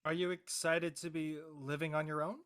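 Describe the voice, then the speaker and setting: A man's voice: a little high, level tone, normal speed, a bit bright, smooth, close to an everyday voice. A man playing an easygoing college-bound young Californian in casual scripted dialogue. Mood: casual, friendly.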